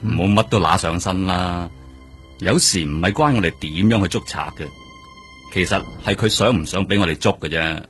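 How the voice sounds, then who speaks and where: A man's voice: low voice, normal speed, a bit bright, soft background music. An actor playing a world-weary, hard-drinking police detective in his forties, speaking colloquial Hong Kong Cantonese in a radio drama. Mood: wry, world-weary. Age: 40s. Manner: colloquial, philosophizing.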